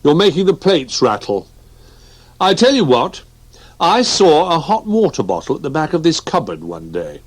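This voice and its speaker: Man doing a bossy storybook teddy, raised and brisk. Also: a little high, very animated, breathy edge, voice pushed up. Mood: helpful. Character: helpful, bossy male teddy bear.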